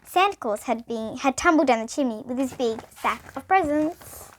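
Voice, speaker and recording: A woman's voice: sits high, expressive, normal speed, slight background noise. A young girl reading a children's bedtime story aloud, expressively and with small stumbles. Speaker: storybook reader.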